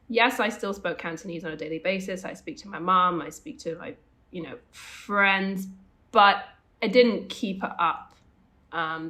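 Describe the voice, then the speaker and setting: Brisk bright-voiced young woman chatting. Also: on the low side. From Hong Kong. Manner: rambling, self-correcting, quick asides. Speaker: podcast co-host. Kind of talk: casual chat.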